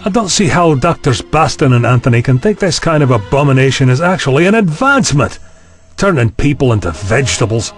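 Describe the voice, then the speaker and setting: A man's voice: medium pitch, sing-song, brisk, faint music behind, natural voice. An actor playing a tactless, sceptical male university chancellor in a science fiction audio drama, blunt and questioning in manner. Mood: grumbling.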